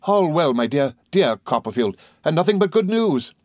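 A man voicing an exuberant, gushing, good-natured young gentleman in an audiobook, full of excited exclamations and repetitions. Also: fairly high pitch, normal speed, no echo, studio quality, voice pushed up, natural voice. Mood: overjoyed. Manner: effusive, exclaiming, repeats himself excitedly. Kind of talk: audiobook character voice.

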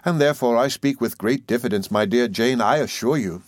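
A man voicing a timid, gentle, anxious young mother in a Victorian storybook, deferential, apologetic and tentative. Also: mid-range voice, brisk, dry recording, clean studio sound, voice pushed up, lightly acted. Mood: deferential, placating. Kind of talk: audiobook character in a Victorian novel.

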